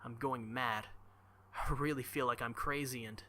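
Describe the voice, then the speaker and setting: Bright, forceful man reading aloud. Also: mid-range voice, steady tone, normal speed. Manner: casual, joking asides between dramatic readings. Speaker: gaming video creator reading a visual novel aloud. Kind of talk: expressive read-aloud with casual commentary.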